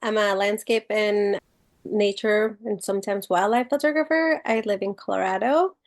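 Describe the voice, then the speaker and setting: A woman's voice: mid-range voice, moderate pace, no room sound. A woman from Mexico with a Mexican Spanish accent introduces herself as a podcast guest. She keeps it brief and a little hesitant, and her voice sounds distant from the microphone.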